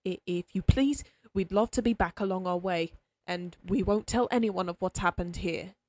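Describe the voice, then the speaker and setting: A woman's voice: lowish voice, moderate pace, darkish tone, dry recording, lightly acted. A woman voicing a timid male lawyer who stammers and pleads nervously. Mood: frightened pleading.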